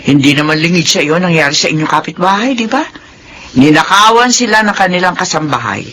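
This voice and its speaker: A woman's voice: fairly low pitch, lively intonation, brisk, a bit bright, a little hiss or hum. An actress playing a concerned middle-aged Filipino mother, earnest and admonishing, in a radio drama. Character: concerned, advice-giving mother of a married daughter.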